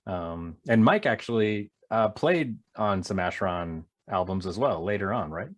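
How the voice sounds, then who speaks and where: A man's voice: lowish voice, animated, moderate pace. A man hosting an interview, chatting casually in an agreeable, conversational way. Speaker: interview host. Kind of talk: casual chat.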